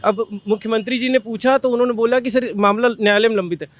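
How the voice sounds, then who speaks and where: High-pitched, earnest young man protesting. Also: steady tone, fast talker, dry recording. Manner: emphatic, repetitive, earnest. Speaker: protesting job candidate. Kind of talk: impassioned street interview answer.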